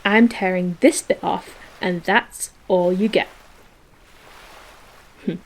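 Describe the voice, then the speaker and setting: A woman's voice: lowish voice, fairly even intonation, slow pace, low-quality recording. A woman acting a playful, affectionate girlfriend in a romantic audio roleplay, with a theatrical, teasing manner. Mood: indignant, grossed out. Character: playful, dramatic, affectionate girlfriend on a beach picnic. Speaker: voice actor.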